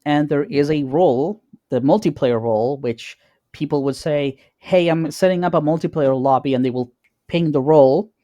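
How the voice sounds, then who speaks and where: Mellow man chatting casually, dry close sound. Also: a little high, dry recording, lo-fi sound. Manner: halting, repeating words, informal. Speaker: podcast co-host. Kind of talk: casual chat.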